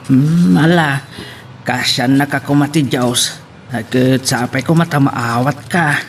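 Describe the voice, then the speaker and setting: A man's voice: medium pitch, a little slow, slight background noise, close to an everyday voice. A man playing a caring older father advising his grown son in an audio drama, with a Filipino accent. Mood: concerned. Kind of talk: Ilocano audio family drama acting.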